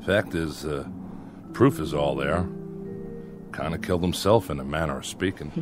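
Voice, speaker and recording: A man's voice: extremely low pitch, moderate pace. An actor in a radio drama playing a skeptical, plain-spoken frontier man with a terse, folksy way of talking. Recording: music bed under the dialogue.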